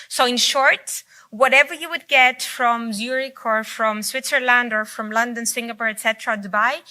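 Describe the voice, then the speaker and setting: Woman with Greek as her first language, keynote speaker, bright, fairly high voice, unscripted and hesitant. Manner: speaks off the cuff with frequent fillers and restarts. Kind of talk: conference presentation. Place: conference hall.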